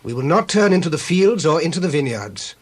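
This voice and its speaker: An actor playing an Israelite messenger in a scripture drama, formally declaiming a petition in archaic English. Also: male voice, fairly high pitch, big pitch swings, fairly quick, husky. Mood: earnest petition.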